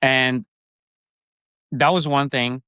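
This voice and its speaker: A man's voice: mid-range voice, steady tone, extremely slow, pushed and bright, clear, resonant tone, dry recording, studio quality. A man from Switzerland with a Swiss German accent, a guest in a casual interview, rambling with restarts and fillers.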